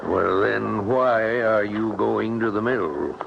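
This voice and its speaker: An actor playing a wary older countryman with a gravelly voice in a 1970s radio drama. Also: lowish voice, slow, full character voice. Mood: skeptical. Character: wary older countryman, father of a grown son. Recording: muffled noisy 1970s radio recording.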